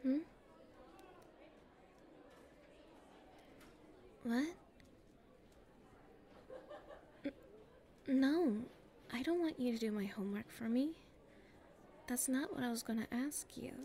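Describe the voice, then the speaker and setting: A woman playing a nervous popular schoolgirl with a secret crush in an ASMR roleplay, softly breathy and halting. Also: on the high side, natural voice. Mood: hesitant, awkward. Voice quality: soft and breathy, often near a whisper. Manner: hesitant, halting, shyly pleading.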